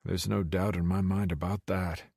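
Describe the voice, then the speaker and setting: A man's voice: low-pitched, little pitch movement, normal speed, creaky, darkish tone, slightly rough, no echo, studio quality. A man reading scripted first-person romance narration as an audiobook narrator.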